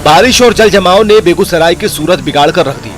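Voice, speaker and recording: A man's voice: high voice, fairly quick. A man delivering a scripted, dramatic news voice-over. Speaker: news narrator. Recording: music bed behind voice.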